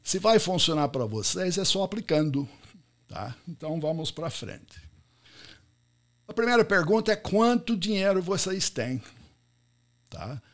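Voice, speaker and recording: A man's voice: high-pitched, big pitch swings, a little slow, long pauses. A middle-aged American man, a native English speaker, speaking Portuguese in a live online talk and telling anecdotes with repeated words for effect. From United States. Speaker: event speaker.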